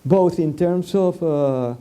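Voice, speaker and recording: A man from Venezuela, a native Spanish speaker, talks through a policy point at a public forum. His voice is very dark and mellow. He stumbles often, filling gaps with "uh" and repeating words before he finds the thought. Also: on the high side, slow pace, smooth. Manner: hesitant, many fillers and restarts. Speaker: panelist.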